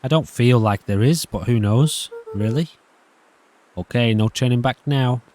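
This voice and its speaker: A man's voice: medium pitch, a little slow, a little mellow. A man giving casual commentary as he plays through a game. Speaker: gaming video host. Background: game music.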